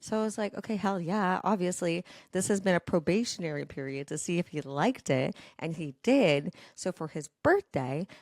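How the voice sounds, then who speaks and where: Low voiced woman podcaster, dramatic n sweary casual storytelling, super dry sound. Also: lively intonation, moderate pace, few pauses, a little mellow, no room sound. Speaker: podcast host.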